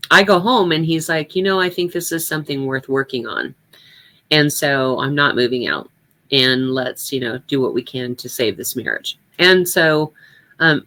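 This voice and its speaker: A woman's voice: sits low, sharp tone. An older woman giving a reflective personal talk, speaking candidly and conversationally with emphasis on her points.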